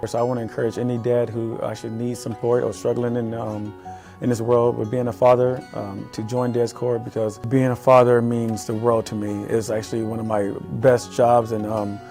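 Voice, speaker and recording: Middle-aged man, mellow low-key delivery, halting earnest testimonial, soft guitar behind. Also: flat delivery. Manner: halting, many ums, earnest. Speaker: father telling his story. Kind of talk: personal testimonial.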